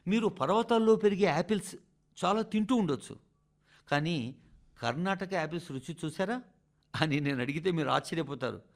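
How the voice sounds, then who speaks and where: A man with a fairly high voice reads a scripted radio address. His pitch moves in broad, animated sweeps, and his delivery is rhetorical, addressed straight to the listeners. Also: normal speed, long pauses. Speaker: radio reader of a translated public address.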